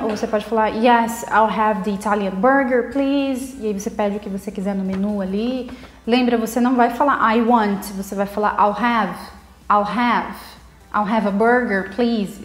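A woman's voice: a little high, normal speed. A young woman with a Brazilian accent giving an informal language lesson to camera, conversational and stressing her example phrases. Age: young adult. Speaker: English teacher, video host. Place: living room at home, indoors. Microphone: lapel mic clipped to top. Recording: roomy indoor echo.

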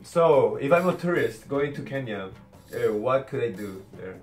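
A man in his twenties hosts a casual interview. His mid-pitched voice sounds roomy and set back from the microphone, with light music underneath. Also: lively intonation, moderate pace, darkish tone, far from the mic. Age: 20s. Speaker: host interviewing friends. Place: small indoor room.

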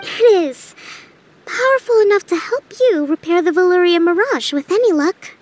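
A woman playing a calm, learned young female leader in a video game, speaking in a measured, gentle way. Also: very high voice. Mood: calm, explanatory.

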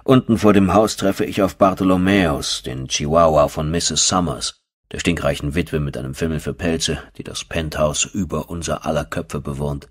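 A man's voice: extremely low pitch, normal speed. A man narrating a first-person horror audiobook as a storyteller.

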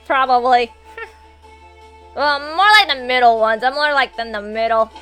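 A woman in her twenties chatting casually as she live streams a game, excitable and foul-mouthed. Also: high-pitched, measured pace, clean tone, low-quality recording. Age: 20s. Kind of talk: casual gameplay commentary and chat with viewers. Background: video game music and sound.

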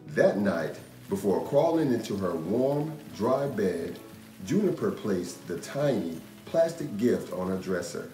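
A man in his thirties reading a children's picture book aloud in an expressive, measured storytelling manner. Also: fairly low pitch. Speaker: storytime reader, host. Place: indoor room. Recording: roomy echo. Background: music.

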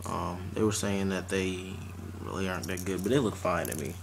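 A young man with a fairly low voice talks in an offhand, halting way, like a casual unboxing vlog. The recording is very noisy, made at home on a camera mic. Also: vocal fry. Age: teens to young adult. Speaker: vlogger showing fishing tackle. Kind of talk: casual unscripted unboxing chat. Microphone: camera or phone mic at arm's length. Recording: noisy amateur recording with clicks and rustling.

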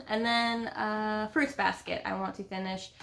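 A woman's voice: medium pitch, fairly even intonation, measured pace, vocal fry, a bit bright. A woman in her thirties chatting casually at home as a book vlog co-host, with a playful, gushing manner. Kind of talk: casual bantering chat. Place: small room at home, indoors.

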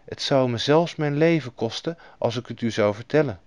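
A man voicing a gentle, sorrowful young princess who chants a rhyme, reading a storybook aloud. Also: mid-range voice, moderate pace, no echo, lightly acted. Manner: pleading, sing-song chanting.

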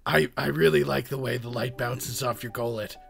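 A man's voice: medium pitch, steady tone, normal speed, gravelly, fairly bright tone. A man improvising casually as a player in a tabletop game. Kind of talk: casual improvised role play. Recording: music behind.

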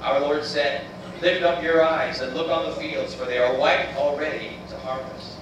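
A man in his thirties from the United States, giving an earnest, measured missionary presentation. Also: a little high, steady tone. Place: church sanctuary, voice played on screen video. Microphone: recorded from room speakers by far camera. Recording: roomy, distant, noisy church playback.

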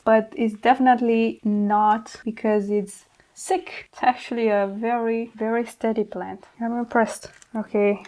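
A young woman hosting a plant vlog, talking casually and candidly as she works with her hands, informal and mildly sweary. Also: mid-range voice. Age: young adult. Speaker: plant channel host. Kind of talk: casual hands-on tutorial chat. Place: indoor plant studio at a worktable.

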